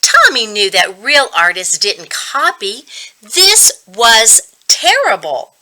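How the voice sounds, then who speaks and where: A woman reading a children's story aloud as a reading coach, deliberate and expressive. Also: on the high side.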